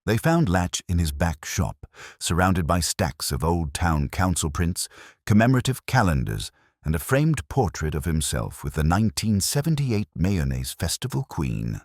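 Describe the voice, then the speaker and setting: Very deep-voiced male mystery narrator. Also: very animated, normal speed, no room sound, studio quality. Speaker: narrator of audio mystery story. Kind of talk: scripted storytelling narration.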